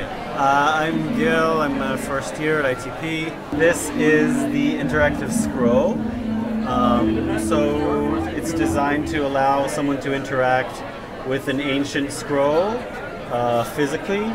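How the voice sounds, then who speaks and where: A man explains his project slowly and haltingly, pausing on uhs. Loud music and a crowd fill the background. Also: medium pitch. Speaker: first-year student presenting. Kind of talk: exhibition demo explanation. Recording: noisy crowded exhibition recording.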